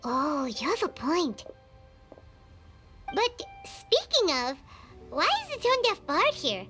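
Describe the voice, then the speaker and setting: Squeaky, very high tiny fairy companion. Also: female voice, huge pitch swings, takes it slowly, pushed and bright, exaggerated voice. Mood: curious. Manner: speaks of self in third person, blurting. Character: tiny childlike floating fairy companion, chirpy and earnest. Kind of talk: anime game voice acting. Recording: music behind the voice.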